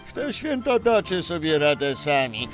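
A man's voice: on the high side, very animated, moderate pace, over music. An actor playing a weary old Father Christmas reading his letter aloud in a children's audio story. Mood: weary, breezy.

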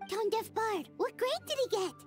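A tiny fairy-like girl companion from a video game, chattering in a very high, squeaky voice that leaps all over. She is excitable, blunt and quick to tease. Game music plays clearly behind her. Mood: excited. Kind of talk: game voice acting.

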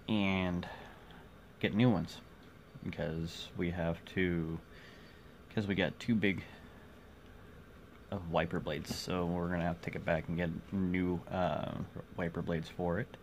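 A man in his forties chatting casually to viewers in a halting, rambling vlog, recorded on a phone indoors. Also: sits low. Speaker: vlogger. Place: small cluttered room indoors. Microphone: phone at arm's length. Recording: noisy phone recording, clear background noise.

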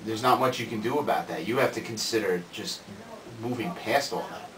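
A man's voice: fairly low pitch, fairly quick, a bit bright, close to an everyday voice. A man acting as a casual, mild school counselor in an amateur student film. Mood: dismissive. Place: small office, indoors. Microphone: distant camera microphone. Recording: roomy, distant camera audio.

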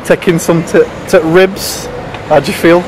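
A man's voice: a little high, fairly even intonation, normal speed, background noise. A man, chatting and joking on a handheld road-trip vlog, asking his friend questions. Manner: jokey, laughing, asks questions. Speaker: vlog presenter. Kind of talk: casual chat. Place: outdoors on town streets and in venues.

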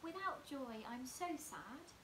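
A woman plays a lonely storybook magpie, a small male bird full of sorrow. The voice is high and swoops theatrically as it pleads. It sounds in an echoey room with background hiss. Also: animated, slow. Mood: sad, lonely. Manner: plaintive, theatrical questioning. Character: sad, lonely male magpie searching for his joy. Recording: roomy echo, clear background hiss.

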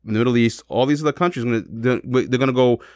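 Male podcast host, rapid and emphatic, repetitive casual opinion talk, dry close sound. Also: mid-range voice, darkish tone, dry recording. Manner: emphatic, repeats words and phrases.

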